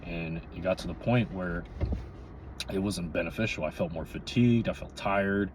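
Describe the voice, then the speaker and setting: A man in his twenties giving a casual, candid, rambling monologue to viewers, often checking in with "you know.". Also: moderate pace. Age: 20s, twenty-three. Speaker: vlogger. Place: inside a parked or running car. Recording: noisy, vehicle rumble inside car.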